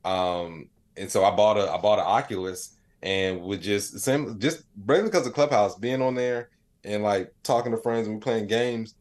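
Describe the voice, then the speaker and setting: A man with a fairly low voice talks as a guest in an interview. He tells his stories casually and loosely, sprinkling in "like" and "you know" as he goes. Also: moderate pace, darkish tone. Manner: rambling, peppered with "like" and "you know". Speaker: interview guest, comedian and AI storyteller. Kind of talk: casual conversational storytelling.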